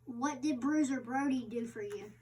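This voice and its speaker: A woman reading an interviewer's part aloud, halting and stumbling over words. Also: on the high side, dark tone. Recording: distant microphone.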